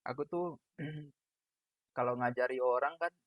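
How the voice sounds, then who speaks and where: A young man from Medan with a Medan accent, a big booming voice and a loud, laughing way of chatting as a podcast host on a call. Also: measured pace, dry recording. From Medan, Indonesia. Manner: loud, laughing, self-deprecating. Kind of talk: casual chat over a video call. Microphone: video call audio. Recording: dull lo-fi call audio, dry.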